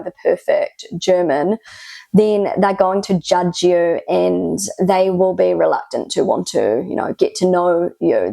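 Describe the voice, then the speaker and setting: A woman's voice: lowish voice, gravelly, warm tone, no echo. A woman from New Zealand with a New Zealand accent, talking earnestly to camera as a vlogger, with reflective "you know" asides. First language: English. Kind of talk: casual talk to camera.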